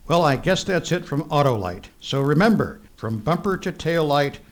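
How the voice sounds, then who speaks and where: A man playing a friendly, folksy sponsor's pitchman in a 1940s-style radio advertisement. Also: animated, moderate pace, lightly acted. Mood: friendly, upbeat. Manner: folksy, conversational selling.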